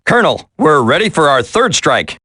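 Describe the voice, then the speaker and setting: An actor playing a dutiful military subordinate in a video game, giving clipped reports. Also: male voice, fairly high pitch, big pitch swings, normal speed, pushed and bright, no room sound, studio quality, exaggerated voice. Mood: brisk, obedient.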